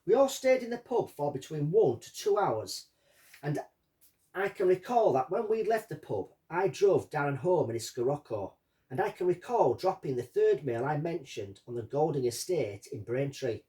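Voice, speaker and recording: A man reading out a witness statement and commenting on it as a true-crime host, in deliberate short phrases. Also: fairly high pitch, very animated, dark tone. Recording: distant from the microphone.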